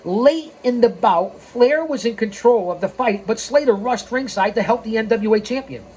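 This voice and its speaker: A woman's voice: fairly high pitch, moderate pace, darkish tone. A woman hosting a story time, reading a scripted history aloud with casual, playful asides. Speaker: storytime host and narrator. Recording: clearly noisy background.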